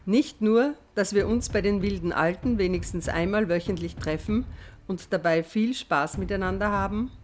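A woman's voice: mid-range voice, moderate pace, slight background noise. A woman of sixty-four giving a prepared personal testimonial. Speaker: member of senior activist group.

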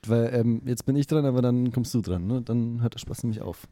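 A man's voice: medium pitch, brisk, over loud music. A man co-hosting a radio show, joking, self-mocking and swearing casually. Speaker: radio co-host.

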